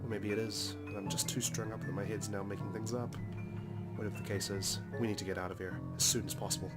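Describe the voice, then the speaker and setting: Low-pitched, even-toned man reading dramatically over music. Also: fast talker. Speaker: let's play narrator. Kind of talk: dramatic reading of visual novel narration. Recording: loud music behind the voice. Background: game soundtrack music.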